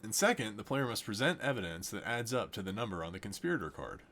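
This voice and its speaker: A man's voice: fairly low pitch, sing-song, fast, fairly bright tone, slight background noise. Man explaining card game rules. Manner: explains rules plainly, then plays it up with jokey asides. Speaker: game demonstrator. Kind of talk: scripted game tutorial with comic banter.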